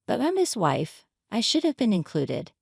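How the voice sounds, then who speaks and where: A woman's voice: mid-range voice, wildly swooping pitch, moderate pace, gravelly, husky, no echo, clean studio sound, heavily acted. A woman narrator playing an indignant, hurt wife in a story, confrontational and pleading. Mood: hurt, demanding. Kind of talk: dramatized story reading.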